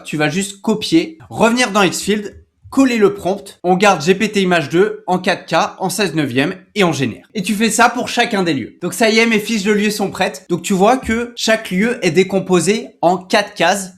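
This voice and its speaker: A man's voice: high-pitched, lively intonation, moderate pace. A man hosting a casual, conversational step-by-step screen tutorial, talking informally and directly to the viewer. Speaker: tutorial host.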